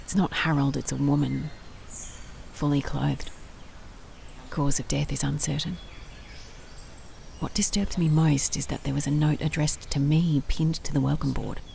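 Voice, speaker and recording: A determined female private investigator in an audio drama. Her voice is low with a gravelly creak, measured and brooding, as if dictating case notes. Faint outdoor noise sits behind her. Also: fairly even intonation, warm tone, full character voice. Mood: grim, uneasy.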